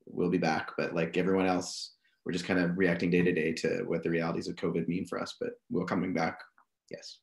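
A man on a video call panel, relaxed and plain-spoken. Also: low-pitched, a little fast, gravelly. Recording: echoey room on video call.

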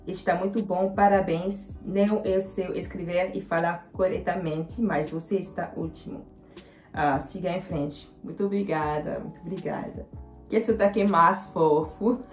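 A young woman in her twenties, a native French speaker with a French accent, chatting to camera with many fillers and pauses as she answers viewer comments. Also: lowish voice, darkish tone, echoey room. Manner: hesitant, many fillers, switches into English. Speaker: vlogger. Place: small indoor room.

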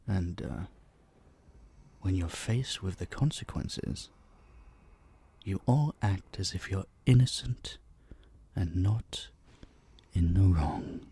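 A man voicing a possessive, centuries-old vampire in an intimate roleplay drama, slow and condescending, with a husky, breathy voice that drops into whispers. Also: deep voice, lively intonation, mellow, full character voice. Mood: mocking, weary. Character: possessive centuries-old male vampire, dominant, menacing captor.

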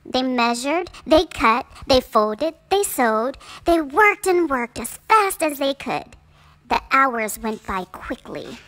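A woman's voice: sits high, big pitch swings, takes it slowly, a bit bright. A woman narrating a children's storybook aloud in careful, simple phrases for young listeners. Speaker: storybook reader.

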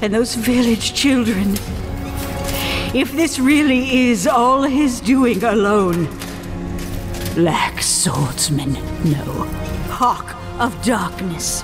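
Lady doing a young zealot commander, stern and formal, high voice, slow, music behind. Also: expressive. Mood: grim resolve. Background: background music.

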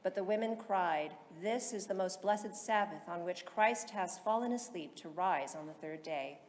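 A woman reading solemn liturgical texts aloud in a measured, formal, chant-like delivery. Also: lowish voice. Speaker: liturgical reader in church. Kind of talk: solemn chanted recitation of psalms and hymns. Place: large reverberant interior. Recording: echoey reverberant hall, loud background noise.

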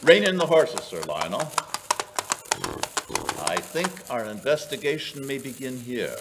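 A man's voice: medium pitch, big pitch swings, measured pace. An actor playing a commanding, inquisitive male detective, probing and authoritative in old-time radio style. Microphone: stage microphone. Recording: clearly noisy live stage recording.

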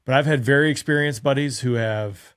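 A man's voice: mid-range voice, fairly quick. A man in his thirties, a former fighter pilot, giving pilots earnest conversational advice to camera, often asking himself rhetorical questions. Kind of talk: direct-to-camera instructional talk. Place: small dark studio room. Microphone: studio mic on stand in front of him.

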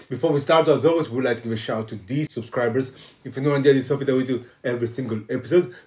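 A man's voice: medium pitch, darkish tone. A young man from Italy hosting a casual reaction video, upbeat and hyped as he keeps urging viewers to subscribe. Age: young adult. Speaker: host. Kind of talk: casual YouTube reaction intro. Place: indoor living room, on a sofa.

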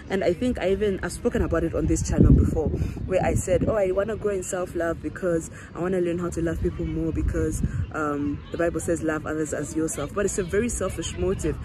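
A woman in her thirties from Zambia, with a Zambian accent that slips toward South African, chatting earnestly to her phone camera in a rambling, filler-filled way beside a parked car. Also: fairly low pitch. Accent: Zambian, slipping into South African. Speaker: vlogger. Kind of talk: casual selfie vlog chat. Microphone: phone held at arm's length. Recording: phone audio with outdoor noise.

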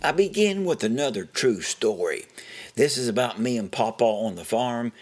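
A man's voice: medium pitch, animated, moderate pace, slightly rough, soft background music. Older-ish appalachian guy spinning a true story, folksy, chuckly, midrange voice. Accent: Appalachian American. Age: middle-aged or older. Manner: homespun, chuckles often. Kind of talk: folksy first-person storytelling.

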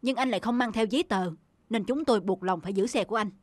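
A woman's voice: on the high side, quick pace, no room sound, natural voice. A woman voicing a dutiful young male cartoon policeman, formal and firm. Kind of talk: cartoon dubbing. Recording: music under the dialogue.

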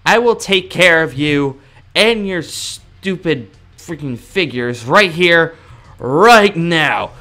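Animated young man doing bright gaming roleplay. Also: fairly high pitch, slow pace, faint music behind. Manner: dramatic, cocky, excitable. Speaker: gaming roleplay video creator. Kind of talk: improvised in-character gameplay roleplay.

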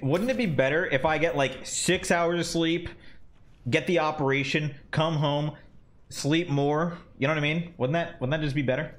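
A man's voice: fairly high pitch, fairly even intonation, normal speed, a bit bright. A man in his twenties chatting casually while streaming a game, rambling and swearing with lots of fillers. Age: 20s. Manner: rambling, profane, many fillers. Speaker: gaming streamer. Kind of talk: casual chat while gaming. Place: home desk room.

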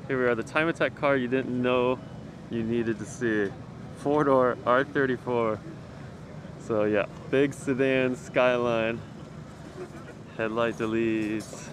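A man giving casual, slangy and enthusiastic walk-around commentary as a car enthusiast, speaking outdoors. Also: mid-range voice. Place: outdoors in a circuit paddock. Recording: noisy outdoor car paddock ambience.

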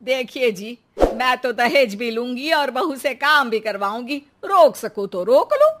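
A woman voicing a scolding, greedy middle-aged housewife in a cartoon, with an emphatic, sharp-tongued delivery. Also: fairly high pitch, expressive, measured pace, background noise. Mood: greedy, defiant. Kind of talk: animated cartoon dialogue.

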